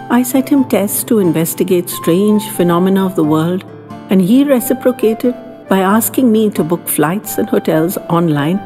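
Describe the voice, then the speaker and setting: A woman reading a first-person slice-of-life story as scripted narration, in an expressive, conversational way, as if telling it to someone close. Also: mid-range voice. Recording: long reverb, faint music behind.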